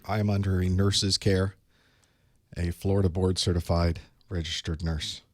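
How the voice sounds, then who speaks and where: A man with a hoarse voice from a cold, chatting casually to his live stream audience while he sets up for an online class. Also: low voice, flat delivery, normal speed, no room sound. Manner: chatty, wry, talks to himself while setting up. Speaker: online course instructor. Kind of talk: casual pre-show chat to a live stream. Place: small studio desk.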